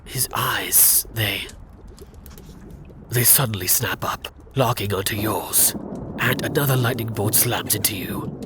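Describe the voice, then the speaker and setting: A man narrating a scripted fantasy ASMR roleplay in a hushed, often whispered voice with dramatic pauses. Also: fairly low pitch, noisy background.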